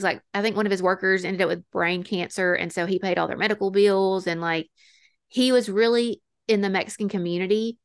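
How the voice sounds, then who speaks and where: Wry, self-deprecating woman podcaster. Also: medium pitch. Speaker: podcast host. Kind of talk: casual true-crime storytelling chat.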